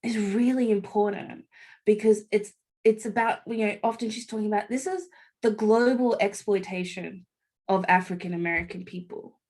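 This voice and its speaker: A woman's voice: medium pitch, fairly even intonation, normal speed, a little mellow. Reflective woman on a video call. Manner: reflective, fluent, conversational. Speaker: radio co-host and guest. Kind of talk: live online conversation.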